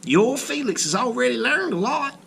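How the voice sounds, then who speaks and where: A man's voice: extremely high pitch, big pitch swings, normal speed, gravelly, fairly bright tone, a little hiss or hum, voice pushed up, full character voice. A man voicing an enthusiastic, knowledgeable aunt in a children's storybook, sounding impressed and instructive. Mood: amazed. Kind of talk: children's storybook read-aloud.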